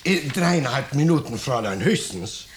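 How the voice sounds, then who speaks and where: An actor playing an ingratiating, self-pitying vagrant, mock-humble and plaintive, in a 1950s German radio drama. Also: male voice, mid-range voice, animated, moderate pace, close to an everyday voice. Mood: cosily cheeky. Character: wheedling, self-pitying male vagrant, falsely humble houseguest. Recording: noisy, clicking 1950s radio recording.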